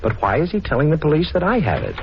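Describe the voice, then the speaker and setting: A man's voice: on the high side, huge pitch swings, quick pace. A man playing a cocky, wisecracking adventurer accused of theft in a 1940s radio crime drama, glib and sardonic. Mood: urgent, focused. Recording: dull, noisy 1940s radio recording.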